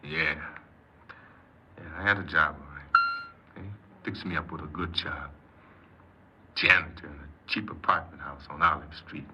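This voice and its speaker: A man's voice: very deep voice, fairly even intonation, a little slow, pauses often. An actor playing a bitter, downcast young American ex-convict recounting his life plainly in a 1950s radio drama. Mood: resigned. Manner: plain-spoken, clipped, glum. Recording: noisy, muffled 1950s radio recording.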